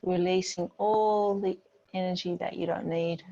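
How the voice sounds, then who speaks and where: A woman yoga teacher giving gentle, unhurried guided relaxation and movement instructions in a soothing manner. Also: lowish voice, fairly even intonation, dull audio.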